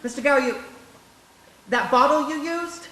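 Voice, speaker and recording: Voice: high voice, moderate pace, bright, forceful tone. An actor playing an earnest, polite, dreamy young boy who helps in a shop, in a live radio play. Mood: frightened, pleading. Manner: eager, earnest. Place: stage of a live theater performance. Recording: echoey stage.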